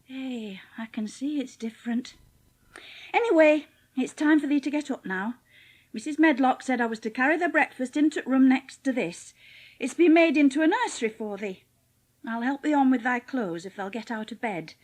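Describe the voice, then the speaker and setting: A woman's voice: high-pitched, animated, normal speed. A woman playing a cheerful, plain-spoken young housemaid with a Yorkshire accent in a dramatised audiobook. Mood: brisk, chiding. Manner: chatty, blunt, homely dialect speech.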